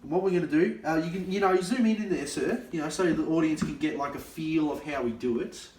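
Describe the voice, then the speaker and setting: A brash young Australian man hosts a mock cooking show with a slightly high voice. He plays the professional and flares up at his helper. The echoey room and the mic some way off keep him distant. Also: fairly quick, darkish tone. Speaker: comic cooking show host.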